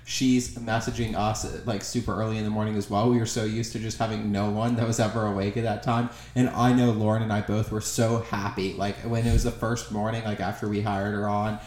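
A man's voice: lowish voice, little pitch movement, fairly quick, few pauses, darkish tone, reverberant. A young man co-hosting a casual podcast, upbeat and blunt, swearing for emphasis. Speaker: podcast co-host.

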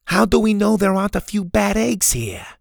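A man voicing an anxious older grandmother who speaks in a hushed way. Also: high voice, animated, moderate pace, vocal fry, dry recording, studio quality, voice pushed up, exaggerated voice. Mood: worried.

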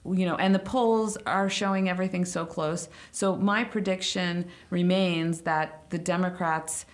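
A woman's voice: on the low side, steady tone, a little slow. A woman whose first language is Hungarian, a podcast guest talking politics in a thoughtful, hedging way. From United States. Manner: thoughtful, hedging, with small laughs. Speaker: podcast guest, former congressional staffer. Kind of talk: casual podcast conversation.

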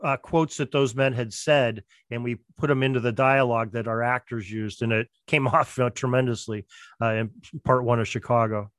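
A man's voice: medium pitch, steady tone, normal speed. A man in an interview explaining things casually as a producer, repeating words as he builds each point. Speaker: podcast drama producer.

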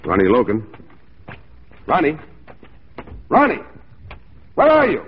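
An actor playing a stern, duty-bound mounted police sergeant with brisk, clipped orders in 1940s radio style. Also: male voice, a little high, huge pitch swings, heavily acted. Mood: brisk, alert. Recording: noisy, muffled 1940s radio recording.

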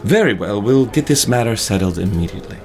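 Lively, officious male hotel concierge, acted. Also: on the low side, fast talker. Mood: polite. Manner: polite, officious. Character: brisk, formal hotel concierge.

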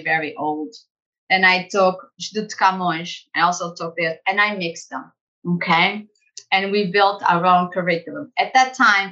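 Animated woman guest, slightly muffled. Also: on the low side. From United States. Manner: animated, conversational, laughs easily. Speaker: executive director of a language school. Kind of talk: casual interview storytelling.

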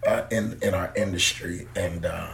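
Kentucky guy, singer, telling stories in an interview, lots of uhs, kinda low voice. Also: normal speed, vocal fry, slight background noise. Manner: relaxed storyteller with many fillers. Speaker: guest singer. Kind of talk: interview storytelling.

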